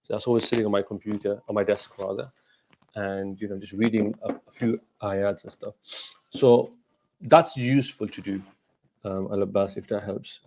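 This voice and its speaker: Middle-aged male scholar, Gujarati mother tongue, lowish voice, informal Q&A delivery, halting and thoughtful. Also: fairly even intonation, darkish tone, a little hiss or hum, rough recording. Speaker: Islamic scholar hosting a question and answer session. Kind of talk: casual informal question and answer talk.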